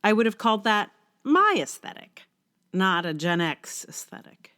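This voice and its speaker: A woman's voice: mid-range voice, big pitch swings, normal speed, sharp tone, clean tone. A middle-aged woman with a congested, cold-affected voice, hosting a podcast in a wry, conversational way. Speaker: podcast host. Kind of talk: casual intro, then reading own blog essay aloud.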